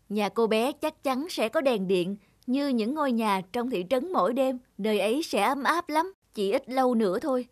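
A woman voicing a gentle, lonely snowman who yearns for warmth, speaking softly and reflectively in an animated fairy tale. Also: on the high side.

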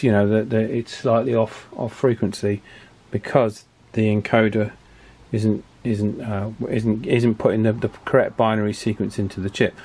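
A man's voice: fairly low pitch, a little mellow, slightly rough. Middle-aged man thinking aloud at workbench. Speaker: radio repair technician and presenter. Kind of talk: informal hands-on workbench commentary. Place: small indoor workshop, at the bench.

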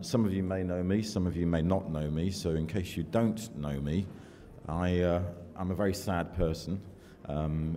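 An older man from the United Kingdom giving a conference keynote at a podium in a large hall, measured and self-deprecating, with fillers between thoughts. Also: deep voice, warm tone. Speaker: keynote speaker. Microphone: podium microphone. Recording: long hall reverb, faint room noise.

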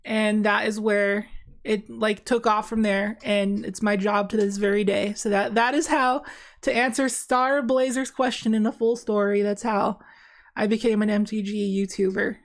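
A woman's voice: mid-range voice, steady tone, normal speed. A young woman talking casually while playing a card game on stream, rambling with many fillers and restarts, in a small dim room. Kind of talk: casual gameplay commentary.

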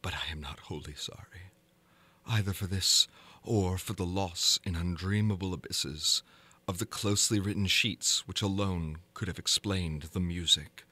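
A man giving a theatrical, deliberate dramatic reading of gothic horror fiction, moving between hushed and intense delivery. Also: low-pitched, slightly rough. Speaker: narrator reading a horror story.

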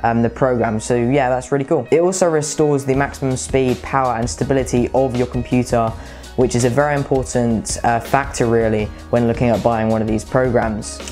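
A man's voice: mid-range voice, steady tone, brisk, a little mellow, faint music behind. A young man in his late teens giving a casual, chatty product review to camera, often repeating words for emphasis. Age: young adult, late teens. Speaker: tech reviewer presenting to camera. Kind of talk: casual scripted-free product review to viewers. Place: small bright room at home. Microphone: clip-on lapel mic.

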